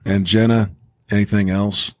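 A man's voice: lowish voice, flat delivery, moderate pace, a little mellow, dry recording, clean studio sound. A man hosting a casual school podcast, encouraging his co-hosts and prompting them with questions. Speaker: podcast host.

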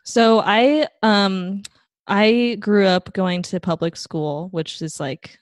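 A young woman from Taiwan, a guest in a casual interview, talking in a relaxed, rambling way with plenty of fillers. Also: mid-range voice, slow, no echo. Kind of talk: casual conversation.

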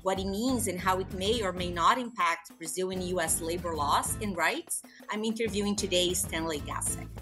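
A woman podcast host reading a formal guest introduction in a steady manner. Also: mid-range voice.

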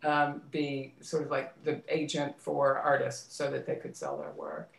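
A low-pitched American woman speaks as a guest in a casual interview. She recounts her own story reflectively, pausing to rephrase. The sound is roomy and echoey. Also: very animated, vocal fry. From United States, suburbs of Washington DC, then California. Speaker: interview guest, theater actress and director.

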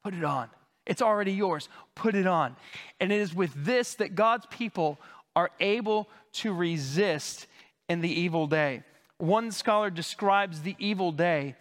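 A male pastor teaching from the pulpit with a high voice, unpacking Greek words in a relaxed, conversational manner. He keeps coughing as he recovers from a lost voice, and his words ring out with a long reverb through the church sanctuary. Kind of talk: expository sermon.

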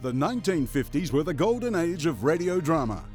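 Guy doing dramatic trailer voiceover, swoopy delivery, on the high side, music under. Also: big pitch swings, fairly quick, a little mellow. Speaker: promo announcer. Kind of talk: dramatic scripted trailer narration.

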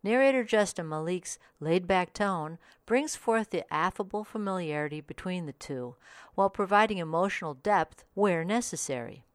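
Female podcast host, lower register, scripted review narration, very dry close recording. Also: animated, normal speed, no echo.

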